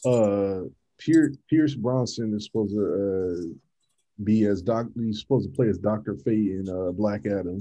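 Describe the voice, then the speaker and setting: A man hosting a casual chat among friends, talking haltingly with many fillers and restarts. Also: fairly low pitch, warm tone.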